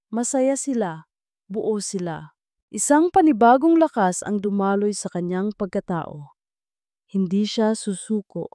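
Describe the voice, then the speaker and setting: A woman's voice: medium pitch, warm tone, dry recording, studio quality. A woman reading a scripted, dramatic story as its narrator.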